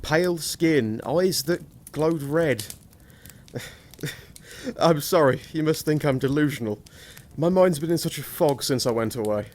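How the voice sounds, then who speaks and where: An actor performs a shaken young married man in gothic horror theatre. His voice sits a little high, and he speaks with hesitant, apologetic unease. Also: expressive, unhurried, darkish tone. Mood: haunted, apologetic. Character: shaken, haunted young husband back from abroad.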